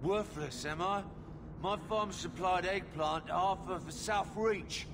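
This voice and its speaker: Folksy refugee miner, plain-spoken, highish pitch, video game dialogue, noisy backdrop. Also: male voice, a little slow. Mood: indignant. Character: plain-spoken refugee farmer turned miner, wary but friendly.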